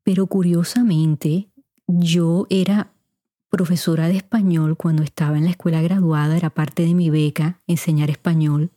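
A middle-aged woman with a mostly neutral Puerto Rican Spanish accent, hosting a podcast and answering listener questions in an unhurried, chatty way, speaking straight to her listeners. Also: on the low side, warm tone, dry recording, studio quality. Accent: Puerto Rican Spanish, kept mostly neutral. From Puerto Rico. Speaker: podcast host. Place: home recording room. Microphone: studio mic.

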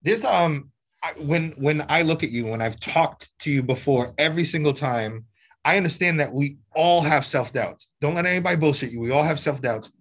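Male podcast host, slightly high and husky, playful interviewer who teases his students. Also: normal speed, fairly bright tone. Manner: joking, teasing, probing questions. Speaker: podcast host and program mentor. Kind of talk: casual interview with students and guest.

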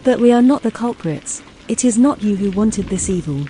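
A man voicing a gentle, consoling young woman in an audiobook, speaking softly and tenderly. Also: high-pitched, expressive, normal speed, slight background noise, pitched above the performer's own voice, poor audio. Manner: soft, tender, soothing. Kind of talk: audiobook character voice.

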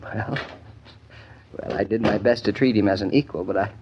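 A man's voice: lowish voice, moderate pace, heavy background noise, lightly acted. An actor playing a genial husband in his forties in a 1950s radio comedy, with a hesitant, drily amused delivery. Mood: wry. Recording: dull old radio broadcast recording.

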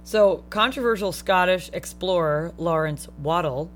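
A woman's voice: fairly low pitch, a little slow. A woman co-hosting a podcast, working through research notes in an easy, joking tone. She slips in swears and wisecracks as she goes, over faint background music. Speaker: podcast co-host. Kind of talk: casual chat, reading researched notes.

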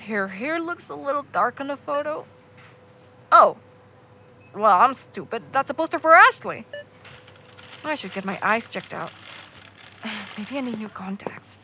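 A woman's voice: fairly high pitch, lively intonation, measured pace, long pauses, bright, forceful tone, highs cut off, a little hiss or hum, full character voice. An actress playing a devout, defensive young woman in an audio drama, earnest, frustrated and sweary. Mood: flustered. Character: devout, defensive young woman, grieving her missing friend. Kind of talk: naturalistic audio drama acting.

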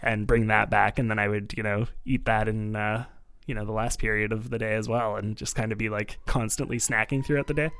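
A man from Canada co-hosting a podcast, chatting casually in a relaxed, rambling way and chuckling often. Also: fairly low pitch, fairly quick, smooth. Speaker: podcast co-host.

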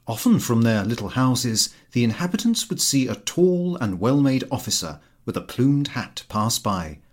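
A man reading a literary story aloud as an audiobook narrator, with an expressive storytelling delivery. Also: mid-range voice, normal speed. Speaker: audiobook reader.

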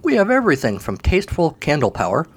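Guy doing a pushy over the top fire salesman creature, high and theatrical. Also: huge pitch swings, fast, slightly rough, heavily acted. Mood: grandly eager. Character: oily grandiose male fire salesman creature.

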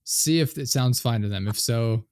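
A man's voice: mid-range voice, normal speed, a little mellow, no room sound. A man hosting a podcast in casual interview chat, slightly nasal, who speeds up and trips over his words when he gets going, on a studio microphone. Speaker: podcast host. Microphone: studio dynamic microphone.

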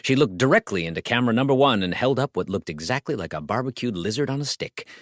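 A man's voice: mid-range voice, animated, fast, dry recording, clean studio sound. A man narrating a comic story as a scripted audiobook, theatrical and wry, savoring his phrases.

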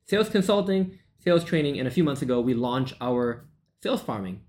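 A man's voice: medium pitch, lively intonation, a little fast, darkish tone. A man in his thirties from Malaysia, a sales coach guest recounting his story in long run-on sentences with fillers. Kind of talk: podcast interview.

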